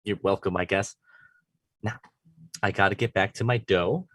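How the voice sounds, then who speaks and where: A very deep voice for a laid-back male baker played by a man. He is dry, patient and deadpan in a comedy table read. The sound is close and fairly dry. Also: normal speed, dry recording. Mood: reluctantly supportive.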